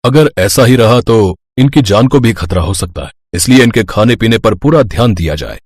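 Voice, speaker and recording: A man acting measured adult male characters in a cartoon family story. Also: fairly low pitch, animated, darkish tone, slightly rough, dry recording, clean studio sound. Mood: serious. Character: mild middle-aged father-in-law and serious doctor. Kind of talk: acted cartoon story dialogue.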